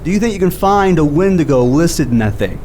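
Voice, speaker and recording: A flustered, argumentative skeptic played by a male actor in an audio drama. His voice is somewhat high for a man and swings widely in pitch as he stammers in exasperation, informal and excitable. There is faint noise in the recording. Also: brisk. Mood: challenging.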